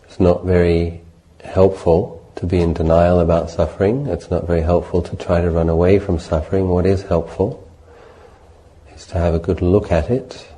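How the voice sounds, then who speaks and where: A man leading a guided meditation as a Buddhist teacher, speaking in a measured, gentle way with deliberate pauses between short phrases. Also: sits low, little pitch movement, slight background noise, poor audio.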